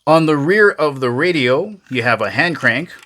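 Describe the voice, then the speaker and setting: A man with a bright, forward voice presents a product review. His pitch moves up and down a lot as he goes. He keeps the wording casual and folksy, speaking straight to the viewer. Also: lively intonation, normal speed, slight background noise. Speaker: product reviewer, video host. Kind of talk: casual hands-on gadget review narration.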